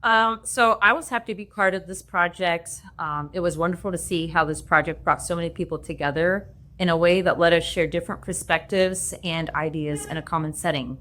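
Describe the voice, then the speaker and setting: A woman's voice: lowish voice, a little fast, a bit bright. A middle-aged woman, a library facilities director, reading prepared remarks in an earnest way with frequent hesitations.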